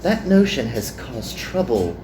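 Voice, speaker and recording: A man's voice: medium pitch, sing-song, unhurried. Guy doing a flirty bossy anime magical woman, drawls her taunts, condescending, echoey with some noise behind. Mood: earnest. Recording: roomy echo, some background noise.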